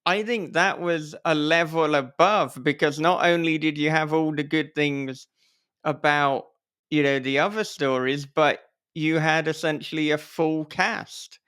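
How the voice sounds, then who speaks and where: A man's voice: a little high, unhurried, fairly bright tone, clean tone. A man hosting a podcast, interviewing in a casual, chatty and slightly wry way.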